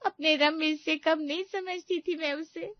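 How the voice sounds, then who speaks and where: Cartoon rustic aunt, older and tender-hearted, female actor: high emotional voice, dry close sound. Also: steady tone, a little slow, dry recording, muffled recording. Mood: tearful wailing. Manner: folksy, emotional, wailing. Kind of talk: cartoon story acting.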